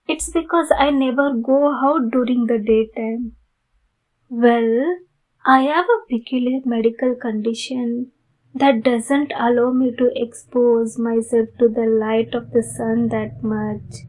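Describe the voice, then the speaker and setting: A woman playing a polite, pleading, youthful-looking vampire in an ASMR roleplay, speaking formally and courteously with many pauses. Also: a little high, a little mellow, rough recording. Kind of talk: ASMR roleplay addressed to the listener.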